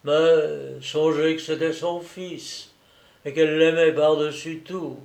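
A man's voice: fairly high pitch, steady tone, takes it slowly, gravelly, a little mellow. A man reading aloud, playing an older baron who stammers out urgent, distraught apologies. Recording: echoey room.

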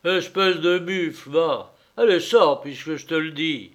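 A man voicing a rowdy drunk masked man who bosses and mocks everyone in a loud, jeering way. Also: fairly high pitch, unhurried, pitched above the performer's own voice. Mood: angry.

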